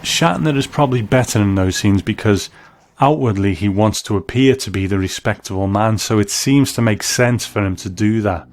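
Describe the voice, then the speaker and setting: A man's voice: fairly low pitch, moderate pace, a little hiss or hum. A man giving scripted review commentary as a narrator. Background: music bed.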